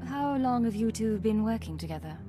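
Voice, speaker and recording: An actress playing a stern, loyal female intelligence agent in a video game, speaking in a measured, serious way. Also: a little high, expressive, music in the background, lightly acted.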